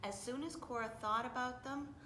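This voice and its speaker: A woman reading a children's story aloud expressively, with deliberate, dramatic phrasing. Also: bright, forceful tone. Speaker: storybook reader. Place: indoors, library. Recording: echoey room with faint rumbling noise.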